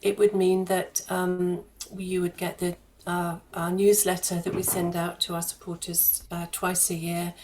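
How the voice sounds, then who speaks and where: A woman's voice: lowish voice, flat delivery, a little slow, hardly any pauses, a little mellow, a little hiss or hum, rough recording. A woman speaking as a charity fundraiser guest in a conversational radio interview, explaining things earnestly and a little hesitantly.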